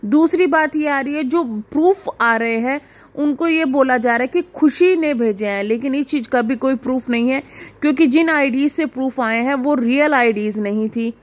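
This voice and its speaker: A woman with a clearly high-pitched voice speaks as the host of a reaction video. She delivers informal gossip commentary straight to her viewers, explaining point by point in an explanatory, questioning manner. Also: normal speed.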